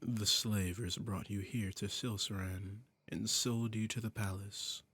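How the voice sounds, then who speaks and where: Guy acting a lowish breathy demon prince, flirty and bossy, slow silky teasing, audio roleplay. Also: fairly even intonation, a bit bright, no echo, full character voice. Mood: intrigued. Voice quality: breathy, often dropping to a whisper. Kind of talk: intimate scripted roleplay audio drama.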